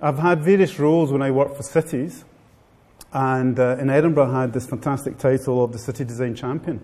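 A man's voice: mid-range voice, fairly even intonation, brisk, darkish tone. A middle-aged man with an Italian accent, giving a wry, informal talk to a live seminar audience. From Italy. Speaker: guest speaker. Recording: long hall reverb.

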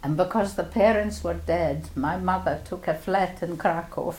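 An older woman from Poland, a native Polish speaker, reflectively recounting her childhood in an oral history interview, often pausing with "you know" and "uh". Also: on the low side, darkish tone, reverberant, rough recording.

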